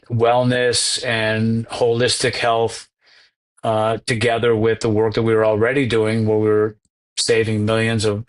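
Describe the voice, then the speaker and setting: A middle-aged man, a healthcare executive guest, recounting his long career modestly with many fillers. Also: mid-range voice. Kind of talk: conversational interview.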